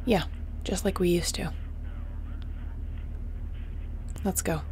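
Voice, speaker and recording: A woman playing a tough, wry, protective space mercenary captain in a sci-fi audio roleplay, terse and commanding, often hushed. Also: on the low side, fairly even intonation, normal speed, slight background noise, lightly acted. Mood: hushed, tactical.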